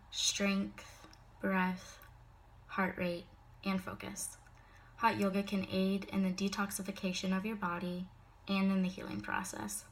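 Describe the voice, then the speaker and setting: A woman's voice: medium pitch, slight background noise, rough recording. A young woman giving a prepared class speech, a little sick so her voice sounds slightly off, mostly recited with occasional casual fillers.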